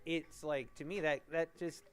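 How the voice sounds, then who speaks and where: A man in casual podcast chat, talking things through in a measured, hedging way. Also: a little high, steady tone.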